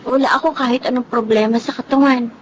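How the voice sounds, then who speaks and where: A woman's voice: a little high, steady tone, fast talker, slight background noise, natural voice, rough recording. A woman playing an insecure but tender adopted younger sister in a Filipino radio drama. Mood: wistful, hurt.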